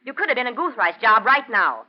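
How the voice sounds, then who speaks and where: A woman's voice: fairly high pitch, sing-song, a little fast. A woman acting a domineering middle-aged wife, scornful and nagging, in 1940s radio drama style. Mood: scornful, berating. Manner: nagging, sneering. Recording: muffled vintage 1940s radio recording.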